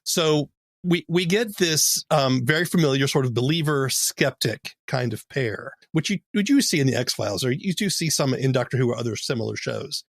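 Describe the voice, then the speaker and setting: A man's voice: medium pitch, lively intonation, moderate pace, creaky, dry recording, clean studio sound. A man hosting a conversational interview, explaining and commenting as he goes.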